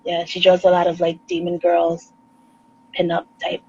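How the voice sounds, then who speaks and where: A woman with a New York accent, a guest artist on a casual video call chat, relaxed and quick to laugh, with rapid asides. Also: on the low side, breathy edge, muffled recording.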